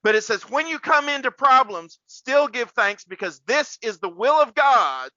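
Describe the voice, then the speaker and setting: A man's voice: very high voice, expressive, moderate pace, bright, forceful tone, no room sound, lo-fi sound. A man hosting a casual faith podcast like a preacher, rambling and repeating words for emphasis.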